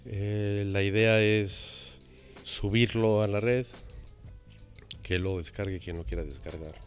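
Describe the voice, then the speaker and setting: A man in his fifties gives a reflective interview as a radio director. His voice is very deep and measured, with pauses and faint music behind. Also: fairly even intonation. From Mexico. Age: 50s. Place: indoor library.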